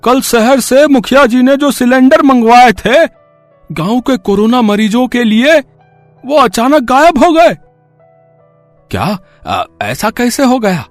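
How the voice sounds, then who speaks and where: A man voicing anxious village men in an animated story, with earnest, exaggerated dramatic delivery. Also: very high voice, takes it slowly, no echo, exaggerated voice. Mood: alarmed, astonished. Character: anxious village men, gossiping neighbours and pleading headman. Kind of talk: animated story character acting. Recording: dry voice over music bed. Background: background music.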